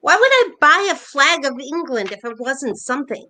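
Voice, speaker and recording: Woman as older wife in a stage play: highish voice, playful, bossy, nostalgic, conversational. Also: sing-song, normal speed, bright, forceful tone, heavily acted. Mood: wistful, delighted. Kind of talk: staged reading of a short play.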